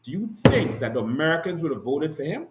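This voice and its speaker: A man's voice: a little high, very animated, fairly quick, reverberant, noisy background. A Bahamian man giving passionate, rhetorical political commentary with emphatic repeated declarations. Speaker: host and activist.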